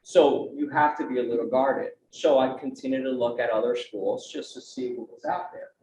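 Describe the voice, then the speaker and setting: A man's voice: mid-range voice, moderate pace. Young adult man on a conference panel, earnest and conversational, recorded in a roomy space with slight hiss. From United States. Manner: earnest, conversational, frequent "right?" tag questions. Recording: roomy, dull, faint background noise.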